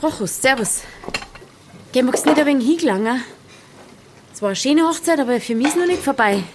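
A woman with a Bavarian accent playing a brisk, unsentimental village innkeeper in an audio drama, blunt and teasing. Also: high-pitched, lively intonation, very noisy. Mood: brisk, busy. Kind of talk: audio drama dialogue in dialect.

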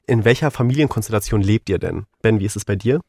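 A man's voice: fairly low pitch, fast talker, darkish tone, breathy edge. A young man co-hosting a casual podcast, laid-back and often dropping English phrases into his talk. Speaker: podcast co-host. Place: studio.

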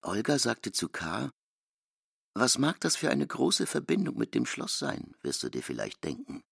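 An actor playing a solemn, deliberate narrator in a literary radio drama. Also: male voice, medium pitch, wildly swooping pitch, gravelly, fairly bright tone, husky, no room sound, studio quality, full character voice. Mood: solemn recounting.

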